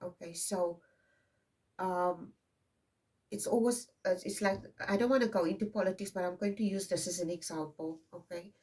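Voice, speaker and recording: A South African woman in her fifties, whose mother tongue is Afrikaans, talks through a tarot reading in a rambling, conversational way. She keeps tagging her points with "okay?". Her voice sits on the low side and is caught from some distance off the mic. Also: fairly even intonation, moderate pace, darkish tone. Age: 50s. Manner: rambling, tags many lines with "okay?". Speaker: tarot reader. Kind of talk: casual intuitive card reading.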